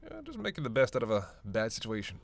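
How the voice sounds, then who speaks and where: A creaky, gravel-edged male vampire played by a man in a roleplay audio drama. He talks casually and sarcastically, teasing and cursing as he goes, always playfully out for himself. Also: medium pitch, huge pitch swings, fast talker. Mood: coaxing, playful. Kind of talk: roleplay audio drama, one-sided conversation with listener.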